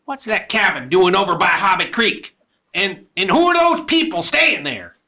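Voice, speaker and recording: A man playing a grumpy old miserly rancher in an Old West radio play, with a sharp, forceful, folksy delivery. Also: sits high, very animated, moderate pace, heavily acted. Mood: harsh, scolding. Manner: crotchety, scolding, folksy.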